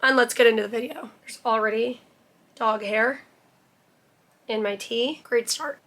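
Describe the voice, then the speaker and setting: A young woman with a bright, somewhat high voice, worn hoarse and rusty from laryngitis. She chats casually and a little self-deprecatingly to camera, wandering into asides as she goes. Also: steady tone, a little slow. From Canada. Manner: chatty and self-deprecating, with laughs and trailing asides. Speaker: book vlogger.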